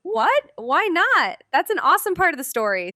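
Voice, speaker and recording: A woman's voice: very high voice, sing-song, moderate pace, sharp tone, no room sound, clean studio sound, heavily acted. A woman playing a stubborn, overconfident twelve-year-old girl detective in an audio drama, emphatic and self-assured. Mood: eager, argumentative. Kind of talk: scripted audio drama acting.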